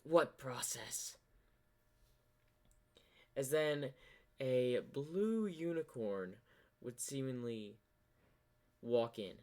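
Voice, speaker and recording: A man works as narrator of an amateur fan-fiction tale. His delivery is halting and very unhurried, told as plain storytelling. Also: mid-range voice, dark tone.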